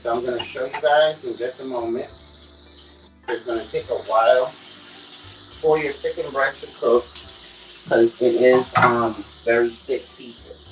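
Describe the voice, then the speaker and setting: A young man talks his viewers through a recipe at an unhurried pace, checking in with "okay" and "guys". His voice is mid-pitched and comes from a few feet off the camera. The small kitchen around him rings a little, with faint music and sizzling underneath. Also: steady tone, slight background noise. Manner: chatty, keeps saying "okay" and "guys". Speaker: cooking vlogger. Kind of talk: casual cooking demonstration. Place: small home kitchen, indoors. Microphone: camera set on the counter, away from him. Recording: echoey kitchen, voice from a distance.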